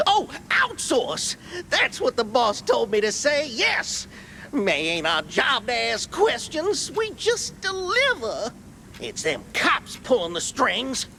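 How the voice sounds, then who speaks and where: A man's voice: very high-pitched, bright, forceful tone, slight background noise, full character voice. A man voicing a nervous, scrawny cartoon gangster foreman, folksy and pleading. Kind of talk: animated game voice acting.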